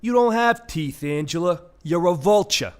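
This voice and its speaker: A man's voice: sits high, animated, normal speed, clear, resonant tone. A man playing a gluttonous, wisecracking vulture in a comic audio play, grumbling and savoring his words. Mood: exasperated.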